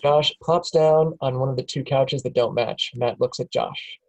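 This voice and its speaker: A man reads the stage directions aloud at a script table reading. His voice sits at a mid pitch, and he keeps a plain, steady delivery. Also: moderate pace, poor audio. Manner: plain, steady, chuckles at times.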